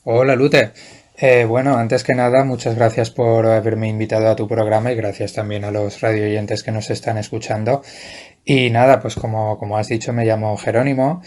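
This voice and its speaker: A man's voice: fairly low pitch, little pitch movement, moderate pace, a little mellow. A man, a writer answering interview questions in a hesitant, thoughtful way with many restarts. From Valencia, Spain. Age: 40s. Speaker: guest writer.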